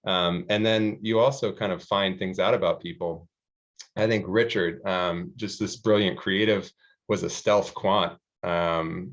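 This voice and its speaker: A man with a very low, flat voice talks through a panel answer at an even pace, leaning on ums and restarts. The sound is dull and muffled. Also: vocal fry. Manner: steady, many ums and restarts. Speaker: EMBA student panelist.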